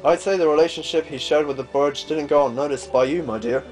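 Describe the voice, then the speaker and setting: A man voicing a gentle, wistful older father from a fantasy game, telling stories in a fond, reflective way. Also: fairly high pitch, quick pace, lightly acted. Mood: fond, nostalgic. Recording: game music behind.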